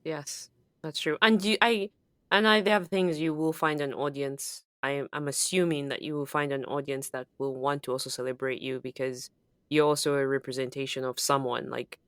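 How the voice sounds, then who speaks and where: A woman's voice: very deep voice, steady tone, normal speed, no echo, studio quality. A woman co-hosting a podcast in casual conversation, speaking in an affirming, reflective way. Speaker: podcast co-host.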